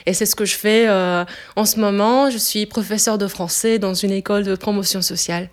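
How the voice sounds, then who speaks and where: This is a woman in her twenties whose first language is Italian, speaking French with an Italian accent. Her voice is smooth and clear. She talks at a relaxed, searching pace, often pausing on fillers as she gathers her thoughts. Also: mid-range voice. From Italy. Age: 20s. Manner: hesitant, many filler pauses. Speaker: interviewee, French teacher. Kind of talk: casual interview answers.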